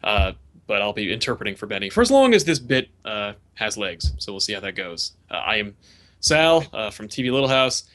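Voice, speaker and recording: A man's voice: lowish voice, very animated, brisk, a bit bright. A man hosting a comedy podcast, jokey and laughing often, with many filler sounds. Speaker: podcast host. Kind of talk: casual podcast chat.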